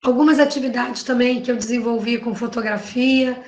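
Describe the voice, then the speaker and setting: High-voiced Brazilian woman lecturing. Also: steady tone, normal speed, darkish tone. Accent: Brazilian Portuguese. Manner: conversational, frequent "né?" tags and self-corrections. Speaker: university professor and researcher. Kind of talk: academic panel talk presenting her work. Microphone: video call. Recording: echoey room.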